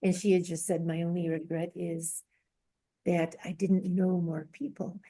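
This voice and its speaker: A woman's voice: lowish voice, level tone, unhurried, mellow. An older woman talking in a casual book club discussion in a rambling, reflective way.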